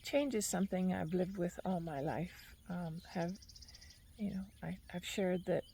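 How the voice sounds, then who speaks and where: Low, gravelly-voiced woman musing outdoors. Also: warm tone, a little hiss or hum. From Argentina. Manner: thoughtful, unhurried, reflective. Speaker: podcast host. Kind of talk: reflective personal monologue. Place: outdoors on a bench.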